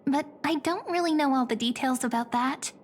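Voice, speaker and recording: A woman voicing a sweet, friendly young girl guide in a video game, chatty, gentle and polite. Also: high-pitched, moderate pace, natural voice. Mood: explaining gently. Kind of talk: video game character acting.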